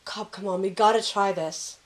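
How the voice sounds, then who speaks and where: A woman's voice: medium pitch, steady tone, moderate pace, natural voice. A woman playing a determined, reassuring young team member in a fan audio drama. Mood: urgent, determined.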